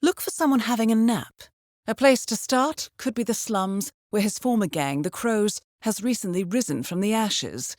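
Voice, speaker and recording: An actress playing a coolly professional handler who briefs an assassin in a video game, speaking in a composed, formal with dry understatement. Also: female voice, medium pitch, lively intonation, moderate pace, a little mellow, no echo, close to an everyday voice. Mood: calm briefing.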